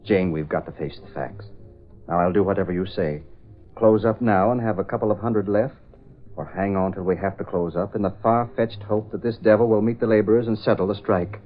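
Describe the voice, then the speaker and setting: A man playing a worried, low-voiced shopkeeper. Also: steady tone, brisk, soft background music, lightly acted. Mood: discouraged. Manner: hesitant, earnest. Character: worried, earnest middle-class husband and shopkeeper. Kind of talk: 1930s radio drama acting. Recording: muffled old radio recording.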